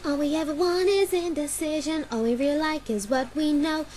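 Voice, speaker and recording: A woman's voice: sits high, normal speed, a little mellow. A woman singing an amateur fan cover of a musical cartoon song, with music behind her. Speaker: fan singer and dubber.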